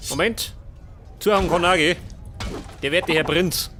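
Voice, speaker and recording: A man's voice: on the high side, a little slow, sharp tone, slight background noise. A man with a Bavarian accent giving casual let's play commentary, often muttering questions to himself. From Bavaria, Germany. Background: game music.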